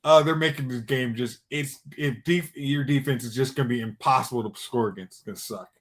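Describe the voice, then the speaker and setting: A man's voice: mid-range voice, a little fast. A man chatting casually as a panelist, rambling and hesitant as he thinks aloud. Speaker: podcast panelist. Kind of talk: casual chat.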